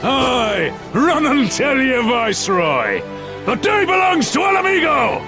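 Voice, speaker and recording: An actor playing a defiant, triumphant rebel soldier shouting rallying battle cries in a video game. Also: male voice, extremely high pitch, sing-song, unhurried, faint music behind, full character voice.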